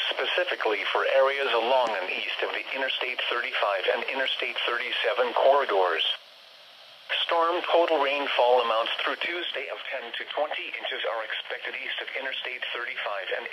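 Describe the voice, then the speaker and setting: A synthetic male voice reading a formal, measured, impersonal scripted weather bulletin as an announcer over the radio. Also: medium pitch. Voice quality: synthetic text-to-speech voice. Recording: noisy weather radio broadcast.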